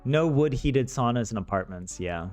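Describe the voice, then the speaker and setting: A man's voice: medium pitch, lively intonation, normal speed, a little mellow, faint music behind. A man from the American South chatting casually on a livestream in a rambling, self-deprecating, joking way. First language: English. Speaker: streamer learning Finnish.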